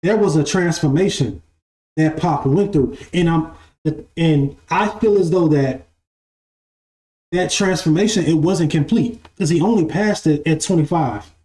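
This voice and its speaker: A man's voice: on the high side, measured pace, warm tone. Young man musing in an echoey room. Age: 20s. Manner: repeats phrases, asks "right?". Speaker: spiritual live-stream host. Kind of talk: casual spiritual monologue. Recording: echoey room with faint music.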